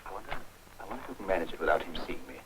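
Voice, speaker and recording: An actor playing a brisk, watchful English army officer in a clipped, understated 1940s British film manner. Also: male voice, low-pitched, lively intonation, fairly bright tone. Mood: guarded. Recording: noisy old film soundtrack, distant voice.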